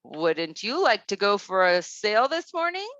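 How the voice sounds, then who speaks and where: A woman's voice: medium pitch, very animated, unhurried, a bit bright, no echo, muffled recording. A woman voicing a friendly, obliging male fish in a storybook, courteous and helpful.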